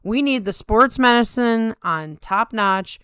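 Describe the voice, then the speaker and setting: A woman's voice: on the high side, expressive, takes it slowly, fairly bright tone, smooth, no room sound, studio quality. A middle-aged woman co-hosting a sports talk show, giving casual, emphatic and colloquial commentary. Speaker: sumo talk show co-host. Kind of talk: casual chat with opinionated commentary.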